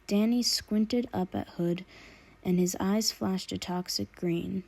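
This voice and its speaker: Mellow-voiced woman narrating a story. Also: medium pitch, slow, vocal fry. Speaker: audiobook narrator. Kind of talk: scripted narration of fan fiction.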